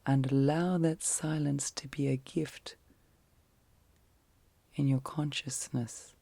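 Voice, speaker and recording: A woman leading an ASMR guided sleep meditation in a soft, breathy, often whispered voice, speaking gently and soothingly in short phrases with long pauses. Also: a bass voice, steady tone, dry recording.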